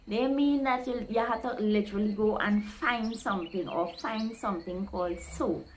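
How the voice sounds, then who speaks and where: A woman with a Guyanese accent, tinged with Trinidadian, talks straight to her viewers in a relaxed vlog. Her voice sits at an ordinary middle pitch. She is chatty and expressive, voicing the local phrases she explains. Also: normal speed. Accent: Guyanese, with Trinidadian creeping in. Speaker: vlogger explaining slang.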